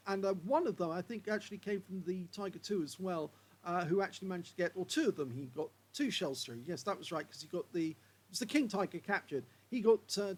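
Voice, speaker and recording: A hoarse man commentating on game replays in a chatty way, filling pauses with uh and um. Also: high voice.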